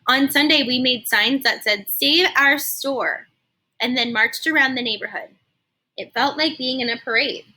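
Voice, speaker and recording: A woman reading a children's story aloud like a teacher, animated and explaining things simply for young listeners. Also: high voice, normal speed, rough recording. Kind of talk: expressive children's read-aloud with explanatory asides.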